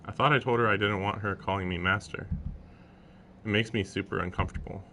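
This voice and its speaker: A man's voice: lowish voice, steady tone, a little fast, bright, forceful tone, a little hiss or hum. A man streaming a visual novel, reading its first-person narration aloud. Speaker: game streamer. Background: game music.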